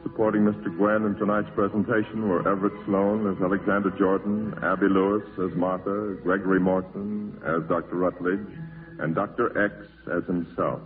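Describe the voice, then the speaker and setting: Old time radio announcer guy, formal, lower voice, tinny recording with some music under it. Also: flat delivery, moderate pace. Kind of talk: 1940s radio credits announcing.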